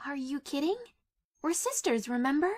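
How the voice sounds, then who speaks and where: A woman's voice: high voice, lively intonation, normal speed, darkish tone, dry recording. A woman voicing a loyal, playful young mare with a casual manner in a cartoon-style comic dub. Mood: breezy, supportive. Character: breezy, loyal young adult mare, supportive sister-in-law.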